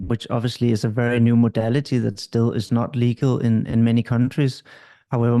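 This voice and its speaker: A middle-aged man from Denmark, a couples therapist explaining at length in an interview, calm and measured. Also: mid-range voice, vocal fry.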